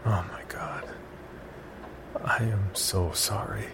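A man playing the uneasy, cautious narrator of a scripted horror story, telling it in a gravelly voice. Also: on the low side, fairly even intonation, slow, in a whisper. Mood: hushed, cautious. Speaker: first-person narrator and lead character.

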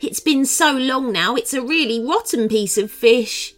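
A woman voicing a quick, sharp-tongued male street cat who sounds exasperated. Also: high-pitched, voice pushed up.

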